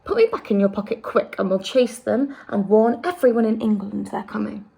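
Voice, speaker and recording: A woman's voice: mid-range voice, a little fast, creaky, mellow, breathy edge. A woman voicing a brave young orphan girl who pleads and argues with indignation. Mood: pleading.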